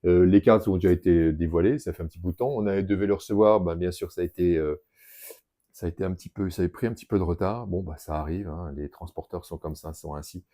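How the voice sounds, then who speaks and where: A man's voice: sits low, quick pace, dark tone. A middle-aged man co-hosting a casual card unboxing, joking and rambling with fillers. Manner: jokey, chatty, rambling with fillers. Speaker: shop co-host opening card packs.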